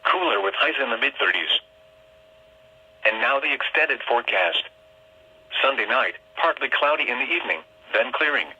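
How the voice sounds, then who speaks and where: A man's voice: medium pitch, animated, normal speed, pauses often, pushed and bright. Harsh, robotic male weather radio announcer. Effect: weather radio speaker. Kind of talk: formal scripted weather bulletin. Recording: muffled, raspy small radio speaker.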